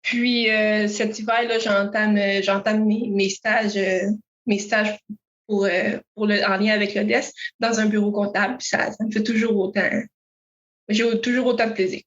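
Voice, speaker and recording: A woman's voice: mid-range voice, little pitch movement, normal speed, dull audio. A young woman from Quebec with a Québécois accent, answering interview questions thoughtfully with many fillers. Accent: Québécois French. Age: young adult. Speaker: accounting student guest.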